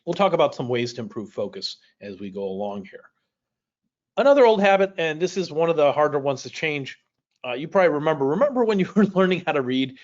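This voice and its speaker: A man whose first language is Polish, teaching a livestream class in a casual, conversational way, speaking directly to viewers. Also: mid-range voice. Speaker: speed reading instructor. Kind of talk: livestream lesson, casual explaining. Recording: dull, lo-fi livestream audio.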